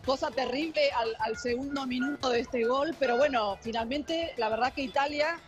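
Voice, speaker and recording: A woman's voice: sits high, normal speed, highs cut off, over music. A woman from Italy, raised elsewhere, speaking as a guest in a live TV news interview, hesitant and full of fillers and restarts.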